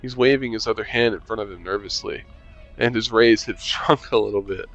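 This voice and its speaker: A man's voice: on the low side, a little fast, a bit bright, dull audio, faint music behind. A young man reading a visual novel aloud, playing the player character with casual, joking asides. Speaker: gaming channel host.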